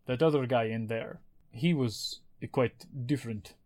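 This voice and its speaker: A man's voice: mid-range voice, normal speed, darkish tone. Laid-back man running a tabletop game. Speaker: game master.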